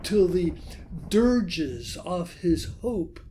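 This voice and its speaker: Slow, theatrical man reciting gothic poetry. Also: high-pitched, very animated, dark tone, a little hiss or hum. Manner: theatrical, halting with long pauses and sighs. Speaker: poetry reader. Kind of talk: dramatic recitation of a gothic poem.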